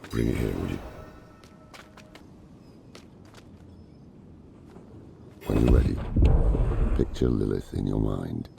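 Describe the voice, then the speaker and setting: An actor playing a grim, weathered old scholar and demon hunter in a video game, weary and deliberate, with a gravelly voice. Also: male voice, rumbling low, full character voice. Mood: brooding. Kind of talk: dramatic video game cutscene acting. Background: dramatic game music.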